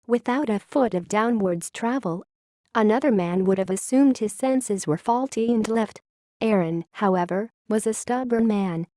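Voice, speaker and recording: A woman playing a wistful, grave founding scientist who confesses a secret history in a measured, literary audio-log monologue. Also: fairly high pitch, darkish tone, no room sound, clean studio sound, close to an everyday voice.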